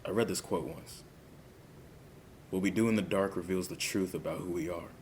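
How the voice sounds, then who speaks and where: A man acting a calm police interrogator who coaxes and probes in a measured way. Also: low voice, a little hiss or hum, natural voice. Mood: calm, probing.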